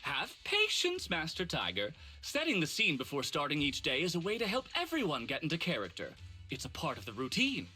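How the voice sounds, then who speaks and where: A man's voice: on the high side, wildly swooping pitch, brisk, sharp tone, slightly rough, soft background music, exaggerated voice. A man voicing an enthusiastic, coaxing, money-minded film director in a video game, theatrical and salesmanlike. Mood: upbeat, coaxing.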